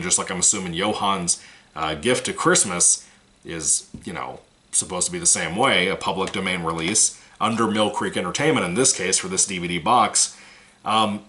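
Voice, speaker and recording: A man's voice: fairly low pitch, fairly bright tone. A man giving a casual, rambling movie review, frank and swearing now and then, his voice still recovering from a cold.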